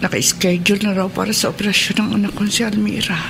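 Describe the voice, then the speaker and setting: A woman's voice: mid-range voice, little pitch movement, fast, creaky, background noise, close to an everyday voice. A woman playing an anxious, self-sacrificing elderly mother in an earnest, emotional Filipino radio drama monologue. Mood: worried. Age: older.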